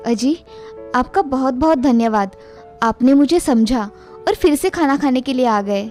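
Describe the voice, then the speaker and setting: A woman playing a meek, dutiful young Indian daughter-in-law, polite and deferential, in an animated family story. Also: sits high, a little slow, soft background music. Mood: grateful. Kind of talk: animated Hindi moral story dialogue.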